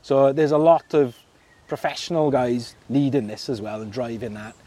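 Chatty Merthyr-accented man, mellow voice. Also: mid-range voice, steady tone, moderate pace. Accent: South Wales (Merthyr). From Merthyr Tydfil, Wales. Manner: chatty, laughs often, many fillers and restarts. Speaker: fishing club secretary, guest. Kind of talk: casual interview chat.

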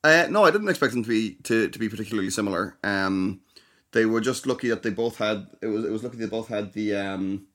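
A young man co-hosting a podcast, explaining at length and carefully, with frequent pauses and restarts. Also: fairly low pitch, fairly bright tone. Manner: hesitant, many ums and restarts, explains carefully. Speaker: podcast co-host. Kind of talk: casual chat.

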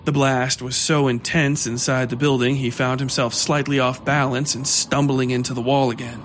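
A man narrating scripted horror fiction in a measured, literary storytelling manner. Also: medium pitch, little pitch movement, fairly bright tone, a little hiss or hum.

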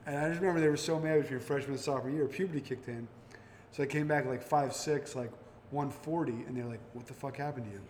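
Man, podcast host, quick delivery, lively storyteller, faint background noise. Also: medium pitch. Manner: fast and animated, acts out quotes from his own stories. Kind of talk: casual podcast chat. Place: brewery taproom.

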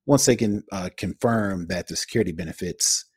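A man with a low voice, recorded close and dry, co-hosts a tech podcast. He talks conversationally as he works through the news. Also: a little fast, slightly rough, no room sound. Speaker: podcast co-host.

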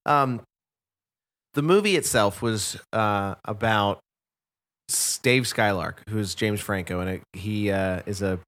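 A man's voice: on the low side, measured pace, fairly bright tone. A man co-hosting a casual movie podcast, rambling through his points with frequent restarts. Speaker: podcast co-host. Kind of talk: casual chat.

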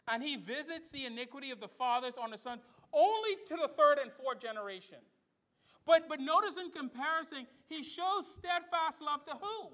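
A man's voice: extremely high pitch, bright, forceful tone. A middle-aged man from the Bahamas, preaching a sermon to his church with emphatic rhetorical questions. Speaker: pastor. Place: church sanctuary.

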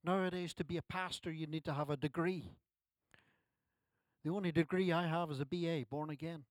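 Man in his sixties, pastor, conversational sermon, slightly high voice, dry close sound. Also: fairly even intonation, brisk, dry recording. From Ireland. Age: 60s. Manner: conversational preaching with self-deprecating asides. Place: church service.

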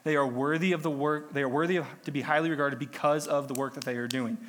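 A man's voice: a little high, steady tone, fast talker, fairly bright tone. A man delivering a sermon while he has a cold, sounding a little nasal, teaching in a conversational, self-deprecating way. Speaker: lay preacher.

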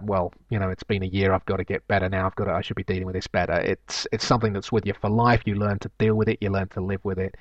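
A man hosting his own podcast alone, talking in a low voice with a slight lisp. He muses at length, full of fillers and restarts. The recording is dry and slightly dull. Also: no echo. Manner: reflective, rambling, with frequent fillers and restarts. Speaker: podcast host. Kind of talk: solo podcast monologue, reading listener emails.

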